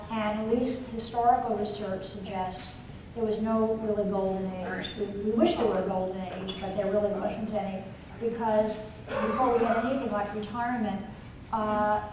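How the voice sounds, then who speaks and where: An older woman giving a keynote lecture and answering audience questions, thoughtful and hesitant with many fillers. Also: medium pitch. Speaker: keynote speaker. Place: large conference hall. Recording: echoey hall, voice from a distance, noisy.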